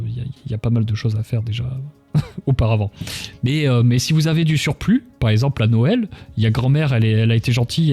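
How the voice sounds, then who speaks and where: A man's voice: mid-range voice, warm tone, slightly rough. A man hosting a casual livestream interview, speaking in a hesitant, searching way and often repeating phrases. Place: live online stream.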